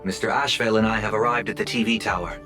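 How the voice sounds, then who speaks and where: A courteous male agent from an anime game, played by a man reports in a fairly low voice. He sounds formal and businesslike, with music behind him. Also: fairly even intonation, fast, close to an everyday voice.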